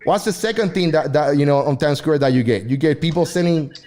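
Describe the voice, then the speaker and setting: A man's voice: fairly high pitch, a little fast, a little mellow. A man co-hosting a casual talk show, rambling and repeating himself as he tells stories. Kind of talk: casual radio chat.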